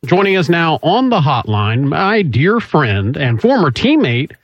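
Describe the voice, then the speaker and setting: A man hosting a call-in radio talk show, brisk, chatty and joking. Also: on the high side, very animated, dry recording. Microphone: studio microphone. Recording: slightly dull broadcast audio.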